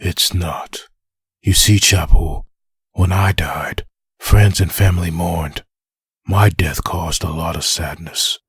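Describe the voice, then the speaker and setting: A deep, very husky undead soldier, acted by a man for a comic dub. He is grim and forceful, taunting his enemy with bitter menace. The sound is dry and close. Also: fairly even intonation, slow pace, no room sound, heavily acted. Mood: furious, accusing. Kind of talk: comic dub dramatic acting.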